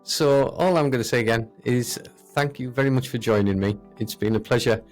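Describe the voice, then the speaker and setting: A man's voice: mid-range voice, brisk, soft background music. A man hosting a podcast interview, affirming his guest and repeating himself as he wraps up. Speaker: podcast host. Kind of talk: casual interview, signing off.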